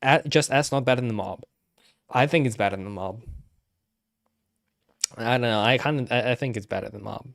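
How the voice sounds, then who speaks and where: A male streamer talks casually through his opinions on a live stream. His delivery is halting and repetitive, often doubling back to second-guess what he has just said. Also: mid-range voice, gravelly, fairly bright tone.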